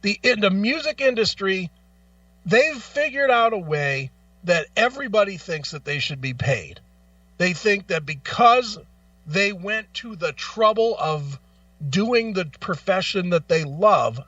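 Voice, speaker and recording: A man's voice: high voice, sing-song, a little slow, fairly bright tone, no room sound, distant mic, muffled recording. A man hosting a talk show in an opinionated rant, blunt, profane and argumentative, repeating phrases for emphasis. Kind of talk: opinionated music talk, ranting.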